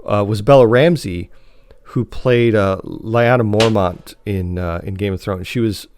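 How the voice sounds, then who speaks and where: A man co-hosting a podcast in casual, slightly halting conversation. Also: fairly low pitch, darkish tone, slight background noise. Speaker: podcast host.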